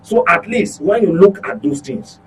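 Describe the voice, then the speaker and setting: A man's voice: sits high, animated, moderate pace, a bit bright, a little hiss or hum, rough recording. A Nigerian man speaking as a guest commentator in a political discussion, emphatic and repeating phrases for stress.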